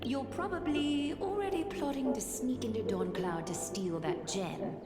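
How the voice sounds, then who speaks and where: Echoey, with music: wise seamstress mentor, mid-pitched, composed, perceptive, for an anime game. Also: female voice, a little slow, dark tone. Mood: knowing, gently chiding. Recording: roomy echo, music behind.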